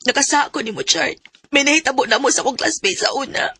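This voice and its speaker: An actress playing an earnest, vulnerable young working woman in a radio drama, with a Cebuano Filipino accent and a husky voice. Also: high-pitched, quick pace, bright, forceful tone, full character voice. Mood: guilty, distraught. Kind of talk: Bisaya radio drama acting.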